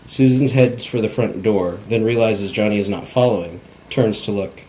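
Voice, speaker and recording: A man's voice: fairly low pitch, moderate pace, mellow, low-quality recording. A man reading out screenplay stage directions as narration at an informal table read. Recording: amateur camera recording with background noise.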